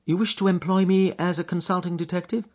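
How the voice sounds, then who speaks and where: A man's voice: high-pitched, fairly even intonation, quick pace, darkish tone, lo-fi sound, voice pushed up. A man voicing a composed, shrewd middle-aged detective in an easy, genial, precise manner. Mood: assured.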